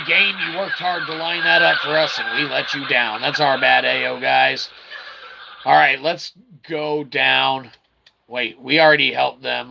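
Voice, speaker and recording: A man with a gravelly, creaky voice gives casual video game commentary, mixing wordy mock-formal phrasing with slang and speaking as "we". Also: medium pitch, bright, forceful tone. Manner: refers to himself as "we". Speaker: gaming channel host. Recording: clearly noisy background.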